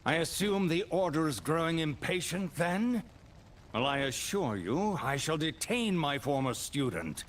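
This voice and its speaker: A man's voice: fairly high pitch, sing-song, measured pace, a little hiss or hum. A man playing a stern, righteous old necromancer master in a video game, formal, solemn and commanding. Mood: weary, wry. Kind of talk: dramatic video game voice acting.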